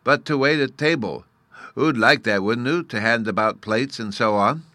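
A man's voice: medium pitch, moderate pace, bright, forceful tone, no echo, voice pushed up, natural voice. A man voicing a tiny, earnest and proud boy fairy from a storybook, scornful at times, in childish baby talk with mispronounced words. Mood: pitying. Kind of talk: storybook character reading.

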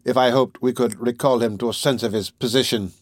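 A solemn older gentleman , voiced by a male audiobook reader. He is formal and earnest, urging in measured, firm phrases. The recording is close and dry. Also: medium pitch, steady tone, no echo, lightly acted. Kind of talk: dramatic audiobook character reading.

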